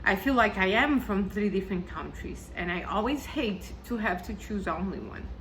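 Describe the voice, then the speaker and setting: Guest speaker, woman, South American Spanish-Portuguese accent, earnest read talk, mid pitch, faint noise. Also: animated, moderate pace, a bit bright, rough recording. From Argentina and Brazil. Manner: earnest, carefully read, reflective. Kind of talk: prepared personal talk.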